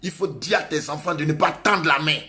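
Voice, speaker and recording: A man's voice: on the high side, brisk, bright, forceful tone. A male pastor preaching a sermon, urging his congregation with emphatic, repetitive exhortations.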